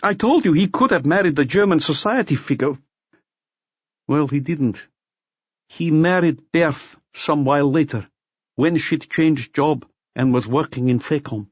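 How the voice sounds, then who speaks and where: A man's voice: a little high, lively intonation, unhurried, slightly rough, no room sound, lo-fi sound. A man voicing a bitter, self-loathing drunkard who confesses with agitated self-pity in an audiobook. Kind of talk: audiobook character acting.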